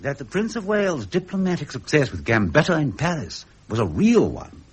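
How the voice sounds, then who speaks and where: An actor playing a deferential foreign secretary with an upper-class British accent, formal and courtly, in period radio drama style. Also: male voice, a little high, sing-song, brisk, slight background noise. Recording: muffled vintage radio recording.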